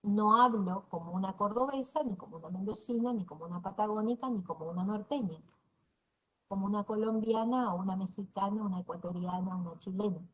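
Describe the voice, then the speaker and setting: Lecturer, woman, middle-aged, northeastern Argentine accent, mid-pitched, explanatory with dry humor, heard over a video call. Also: a little fast, breathy edge, rough recording. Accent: northeastern Argentine Spanish. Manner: explanatory, checks in with "¿sí?" often. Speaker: university lecturer. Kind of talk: online class lecture.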